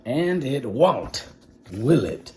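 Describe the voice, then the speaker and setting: A man's voice: mid-range voice, big pitch swings, drawn-out words, dark tone, voice pushed up. A man voicing a stern, menacing witch of a schoolteacher, a woman, with a scratchy, commanding delivery for a children's storybook. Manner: bossy, commanding.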